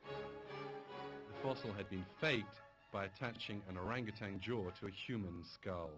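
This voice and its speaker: A man with a low voice gives measured, formal documentary narration. Music plays clearly under his voice throughout. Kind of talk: scripted narration. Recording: documentary audio over music.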